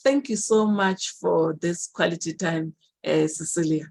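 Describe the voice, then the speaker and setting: A woman from Botswana with a Botswana accent, a podcast guest giving measured, earnest answers and repeating words for emphasis. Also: on the low side, vocal fry, darkish tone, slightly rough. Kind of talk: interview answers.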